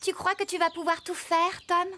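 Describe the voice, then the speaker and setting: A woman's voice: very high-pitched, level tone, moderate pace, sharp tone, a little hiss or hum. A woman playing a friendly, organised young woman farmer in a children's cartoon, giving encouraging instructions. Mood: kindly, instructing.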